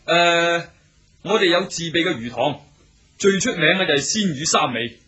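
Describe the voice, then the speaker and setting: A man's voice: fairly high pitch, animated, normal speed, sharp tone, dull audio. A man playing an eager, deferential innkeeper in a radio drama, chatty and full of small interjections, with a Hong Kong Cantonese accent. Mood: obliging. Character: eager, talkative, obliging male innkeeper serving travellers.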